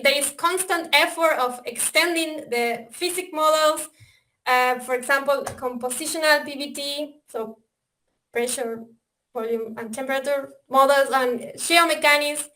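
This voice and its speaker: A woman's voice: sits high, sharp tone. A woman with an Argentinian accent gives a webinar presentation, speaking hesitantly with many fillers and restarts. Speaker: software developer presenting. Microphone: video call.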